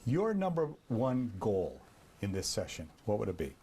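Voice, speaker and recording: A man's voice: fairly low pitch, huge pitch swings, normal speed, mellow, a little hiss or hum. A man interviewing a guest for TV news, asking direct questions in a conversational way.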